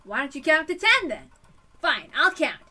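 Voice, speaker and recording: A woman voicing an idealistic, hot-blooded young male policeman in an emphatic, excitable way for a story reading. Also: sits high, moderate pace, voice pushed up, exaggerated voice. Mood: fired up.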